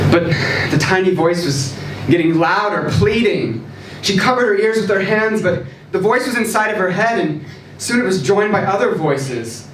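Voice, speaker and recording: A man giving a dramatic live reading of a short story, deliberate and theatrical, with pauses for effect. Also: medium pitch. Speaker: story reader at a live literary event. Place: live event in a social room, recorded from a distance. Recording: roomy distant live recording, clear background noise.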